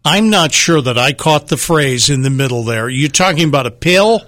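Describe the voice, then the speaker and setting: A man's voice: a little high, expressive, moderate pace, a bit bright, no echo, studio quality. A man co-hosting a call-in radio show, introducing callers and adding short remarks. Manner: brief friendly interjections, introduces callers. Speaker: radio co-host.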